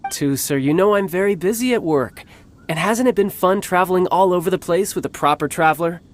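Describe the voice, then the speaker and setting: A man playing a cocky, playful young warrior and doting older brother in a video game, smooth-talking and teasing. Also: high-pitched, fairly quick, a little hiss or hum, natural voice. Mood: gentle, patient. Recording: background music under the voice.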